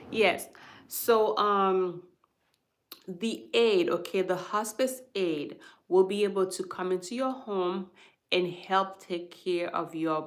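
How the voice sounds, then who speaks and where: Slow, low-voiced woman. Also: fairly bright tone. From Haiti. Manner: explains slowly, checks in with "okay?" after most points. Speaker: hospice worker and livestream host. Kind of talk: live stream talk with viewers.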